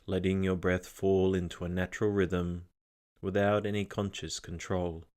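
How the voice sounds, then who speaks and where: A man narrating a scripted guided meditation, giving gentle instructions in a calm, measured manner. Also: deep voice.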